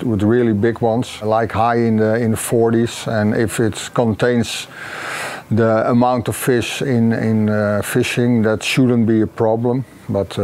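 A middle-aged man from the Netherlands with a Dutch accent, giving interview answers haltingly with many fillers and repeated words. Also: fairly low pitch, a little mellow, a little hiss or hum. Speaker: competitor. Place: outdoor patio.

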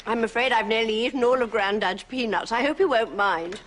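A woman's voice: on the high side, a little fast, fairly bright tone, close to an everyday voice. A woman in her sixties playing a prim, polite older lady in a television sitcom. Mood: apologetic. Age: 60s.

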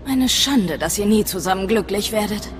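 A woman's voice: mid-range voice, animated, moderate pace, music in the background, close to an everyday voice. An actress playing a mocking, sardonic female companion with a dangerous streak in a video game. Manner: mocking, teasing. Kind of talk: dramatic video game acting.

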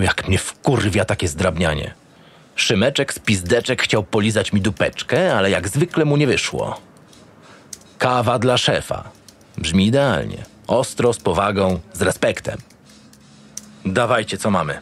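Actor as a gruff, low-voiced cynical detective. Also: male voice, expressive, measured pace, fairly bright tone, full character voice. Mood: sardonic. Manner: gruff, sardonic, swearing. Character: cynical, irritable male homicide detective, foul-mouthed boss. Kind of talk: first-person audio drama narration and dialogue.

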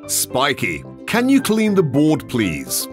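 A man playing a polite, orderly schoolteacher in an educational children's cartoon, speaking in clear instructional phrases. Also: a little high, huge pitch swings, over music, exaggerated voice. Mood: instructive, polite. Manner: slow, clearly enunciated instructional delivery. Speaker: teacher.

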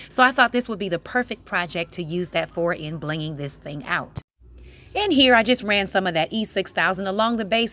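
American woman, middle-aged, playful and informal craft vlogger, mid-pitched voice. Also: fairly bright tone. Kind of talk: casual craft tutorial voice-over.

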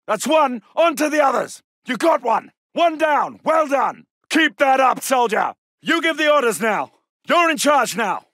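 British ww2 soldier yelling clipped game barks, really bright hard-edged voice, dry recording. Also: male voice, extremely high pitch, no echo, full character voice. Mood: triumphant, then hearty congratulation. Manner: urgent, forceful, clipped military delivery. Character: gruff British Second World War soldier shouting in battle. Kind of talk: shouted video game battle barks.

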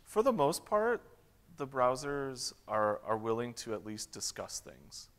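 A man in his thirties answering audience questions at a tech conference, relaxed, with lots of "um"s and restarts. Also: medium pitch, a little slow, clean tone. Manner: relaxed, many fillers and restarts. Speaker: conference keynote speaker. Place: large conference hall stage. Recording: long hall reverb.

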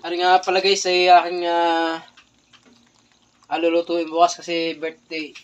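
Filipino guy vlogging his night catch, kinda high voice, phone mic a bit far off, noisy. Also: monotone, measured pace. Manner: chatty, thankful, addresses viewers as guys. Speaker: vlogger, spearfisher. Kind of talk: casual vlog narration. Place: small tiled kitchen indoors, at night. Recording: distant phone audio with clear background noise.

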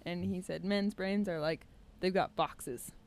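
A young woman co-hosting on stage, explaining things in an animated, casual, conversational way into a microphone. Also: lowish voice, unhurried. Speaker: co-host and musician. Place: small indoor stage with curtain. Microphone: stage microphone on a stand.

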